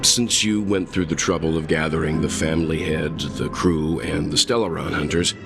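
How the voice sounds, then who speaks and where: A man's voice: a bass voice, fairly even intonation, normal speed, gravelly. An actor voicing a composed man in a game cutscene, asking level, reasoned questions. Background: game score music.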